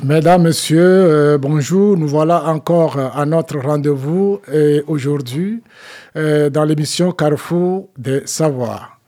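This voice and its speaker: A man hosting a community radio show, introducing guests and songs slowly with frequent hesitations. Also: a little high, mellow. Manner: slow, many "euh" fillers. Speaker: radio host.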